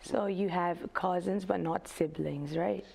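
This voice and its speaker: A woman's voice: fairly low pitch, steady tone, a little slow, vocal fry, dark tone, slightly rough. A woman from Nepal, hosting a radio interview in a chatty way, with fillers and laughs. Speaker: radio host. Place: radio studio.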